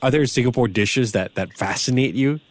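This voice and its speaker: A man's voice: mid-range voice, moderate pace, slight background noise. A man hosting an interview, asking questions in a conversational way. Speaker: interviewer, host.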